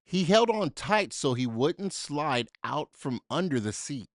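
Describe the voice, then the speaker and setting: A man reading a children's story aloud in an expressive, dramatic way, with deliberate emphasis for young listeners. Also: fairly high pitch, dry recording, studio quality. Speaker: storybook reader.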